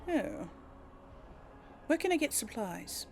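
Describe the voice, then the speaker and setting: Woman game host, unhurried, mid-pitched, casual musing role-play commentary, faint game ambience behind. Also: very animated, darkish tone, slight background noise. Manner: musing, talks to herself. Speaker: gaming video host playing in character. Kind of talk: casual role-play commentary, reading dialogue choices aloud.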